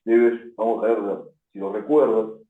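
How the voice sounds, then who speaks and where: A man's voice: fairly low pitch, a little slow. A man hosting a radio interview, asking long, winding questions. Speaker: host. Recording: tinny phone-like line in an echoey room.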